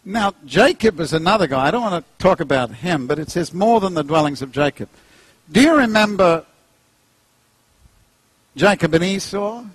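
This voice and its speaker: Animated New Zealand preacher, husky voice. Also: male voice, normal speed, pauses often, no echo, low-quality recording. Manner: jokey, acts out characters, pitch leaps high. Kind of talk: animated sermon with humor.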